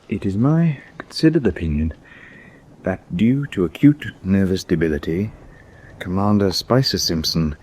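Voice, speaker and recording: An actor playing a dutiful British naval officer in a radio drama, formal and deferential, with a husky voice. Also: male voice, low-pitched, a little mellow, full character voice. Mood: grave, formal. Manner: stiff, deferential, hesitant.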